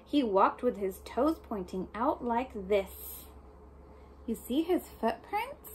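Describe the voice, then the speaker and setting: Animated, high-voiced woman reading to children. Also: slow pace, slight background noise, low-quality recording. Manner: expressive, questioning the listener, speaking as to small children. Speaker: storybook reader for young children. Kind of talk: read-aloud of a picture book with asides and questions to children.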